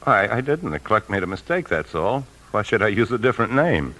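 An actor playing a tense, desperate embezzling husband in a 1950s radio drama, speaking with urgent, confessional intensity. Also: male voice, lowish voice, big pitch swings, fairly quick, sharp tone, full character voice. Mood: defensive, pressing. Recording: old radio broadcast, faint music.

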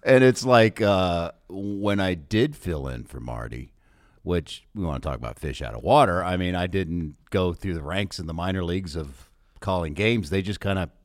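A man with a fairly low voice hosts a casual podcast chat. His pitch swings around a lot, and he talks in a jokey, self-deprecating manner. The sound is close and dry. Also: lively intonation, normal speed, no room sound. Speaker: podcast host and sports broadcaster.

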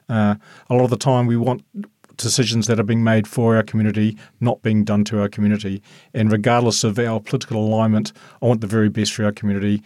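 Earnest New Zealand man, deepish dry voice. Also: darkish tone, no room sound. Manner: earnest, halting with uhs. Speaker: local board member. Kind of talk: podcast interview answers.

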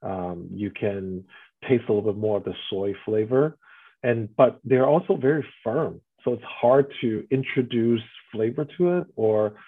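Husky man on a tinny phone-like line. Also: lowish voice, measured pace. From Taiwan. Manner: explanatory, often adds "right?" tags. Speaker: restaurant guest. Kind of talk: interview answers.

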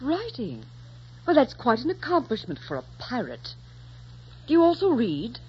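An actress playing a poised, teasing young noblewoman in a 1940s radio romance, coolly playful and gently mocking. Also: fairly high pitch, wildly swooping pitch, normal speed, breathy edge, exaggerated voice. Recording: dull, noisy 1940s radio recording.

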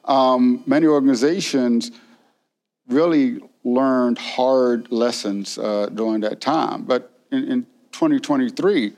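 A man's voice: medium pitch, slow pace, darkish tone. A man hosting an interview, curious and asking follow-up questions. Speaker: interview host. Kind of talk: conversational interview.

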